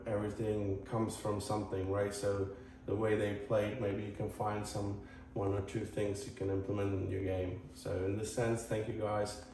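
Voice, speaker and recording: A man from Croatia with a Croatian accent, talking casually to viewers as a tennis channel host in a halting, earnest, rambling way. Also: on the low side, mellow. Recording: echoey, distant mic, faint noise.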